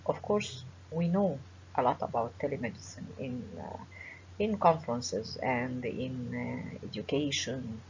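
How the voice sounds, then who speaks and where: A middle-aged woman with an Egyptian accent gives a formal webinar lecture as a professor, speaking in measured phrases with frequent pauses and fillers. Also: sits low. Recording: dull lo-fi webinar audio with faint hiss.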